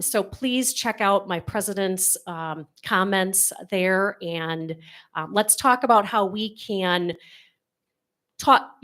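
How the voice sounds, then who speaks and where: A woman, the congregation's president, giving an earnest announcement to the congregation with many pauses and fillers. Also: mid-range voice, fairly bright tone. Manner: earnest, with many ums and restarts.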